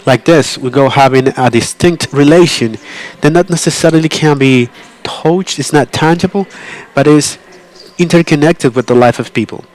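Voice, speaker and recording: A man interpreting a conference talk live, in a halting way with frequent restarts and self-corrections. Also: fairly high pitch, a little mellow.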